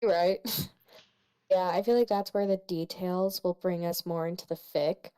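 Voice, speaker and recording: Smooth, clear-voiced woman co-host. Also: lowish voice, unhurried, dark tone. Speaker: co-host critiquing fan fiction. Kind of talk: casual chat and critique.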